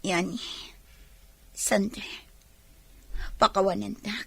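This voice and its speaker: A woman's voice: mid-range voice, steady tone, slow pace, gravelly, a bit bright, husky, dry recording, exaggerated voice. An actress playing a sorrowful, dutiful young woman in an Ilocano radio drama, speaking with an Ilocano accent. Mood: apologetic, sad.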